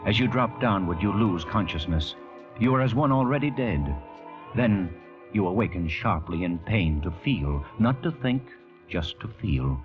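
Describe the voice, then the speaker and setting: An actor playing a grave, intense narrator in an old-time radio drama, telling the story deliberately and dramatically. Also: male voice, sits low, lively intonation. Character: grave, intense male narrator of a fatal ordeal. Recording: muffled old radio recording, music behind.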